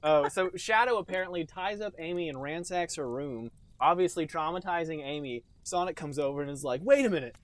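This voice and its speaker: A man's voice: fairly high pitch, fairly quick, few pauses, dry recording. A man co-hosting a comedy podcast in casual, joking chat. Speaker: podcast co-host.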